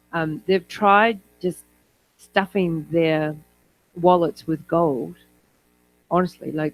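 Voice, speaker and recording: A man's voice: on the high side, darkish tone, low-quality recording. A man from New Zealand speaking as an academic in an informal conference talk, conversational and given to digressive asides. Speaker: academic speaker on health policy.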